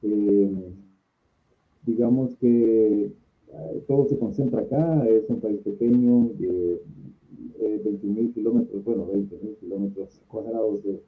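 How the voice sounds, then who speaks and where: A middle-aged man with a Salvadoran Spanish accent, answering interview questions as a guest in an earnest, explanatory way with deliberate pauses. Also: on the low side. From San Salvador, El Salvador. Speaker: invited guest, conference speaker and contact group organizer. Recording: thin phone-like call audio.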